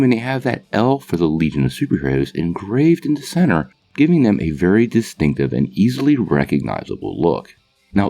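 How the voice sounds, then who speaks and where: Male comics channel host: mellow, very lively intonation, casual and enthusiastic scripted explainer. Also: low voice, gravelly. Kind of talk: scripted explainer narration to viewers.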